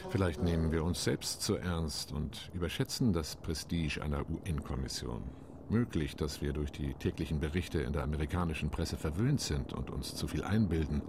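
An actor playing a UN commission delegate in a radio play, giving a measured, wry and indignant account. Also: male voice, very deep voice, noisy background. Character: male UN delegate, dignified, quietly indignant.

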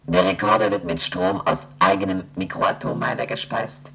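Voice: sits low, steady tone, moderate pace, bright, forceful tone, husky, heavily acted. An actor voicing a space station computer that recites technical facts in a formal, impersonal radio drama delivery. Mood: impassive, formal. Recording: noisy track with a hum.

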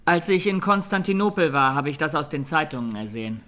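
Bright-voiced young man testifying. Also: fairly high pitch, animated, fairly quick. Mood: sober. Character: haunted young Armenian defendant on trial, plain-spoken. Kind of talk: radio play courtroom testimony.

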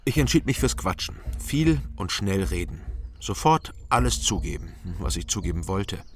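A man's voice: sits low, sing-song, moderate pace. An actor playing a wry East German man in a radio crime drama, who tells his own story in terse fragments and talks his way through questioning. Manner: terse, clipped first-person telling in short fragments. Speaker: narrator and protagonist.

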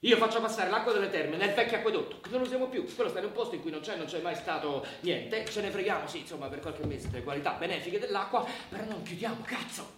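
Insistent town mayor, echoey and distant. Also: male voice, sits high, lively intonation, fast, few pauses, a bit bright. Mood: agitated, forceful. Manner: insistent, tagging sentences with questioning prompts. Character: pressured, persuasive male town mayor, scheming and pleading. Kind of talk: naturalistic Italian radio theatre acting. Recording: echoey room, distant mic, faint noise.

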